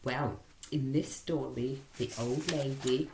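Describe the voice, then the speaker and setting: A woman reading a rhyming children's story as storyteller, in an animated, theatrical way. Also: rumbling low, slow pace, background noise. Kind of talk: expressive rhyming storybook narration. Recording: echoey room.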